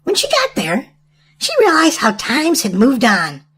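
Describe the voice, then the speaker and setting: A woman reading a storybook aloud to young children in an animated, sing-song way. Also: on the high side, a little slow, slightly rough. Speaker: children's storytime host and reader.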